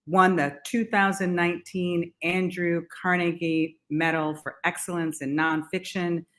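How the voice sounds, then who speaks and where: A woman hosting a literary and arts salon, introducing speakers in a measured way. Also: deep voice, a bit bright. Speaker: event host.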